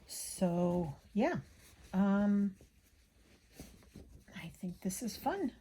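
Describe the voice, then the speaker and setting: Woman craft vlogger: soft-edged, unhurried delivery, slightly gravelly, chatty and self-interrupting, casual stitching-along ramble. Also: mid-range voice, sing-song, slight background noise. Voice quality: slightly gravelly from a frog in the throat. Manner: chatty, absent-minded, self-interrupting, mutters to self. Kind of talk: casual rambling chat while hand stitching.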